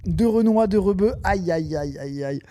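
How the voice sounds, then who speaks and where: A man's voice: high voice, expressive, moderate pace, mellow, no echo. A man live-streaming his reaction to a video, mocking it with sarcastic asides and frequent laughter.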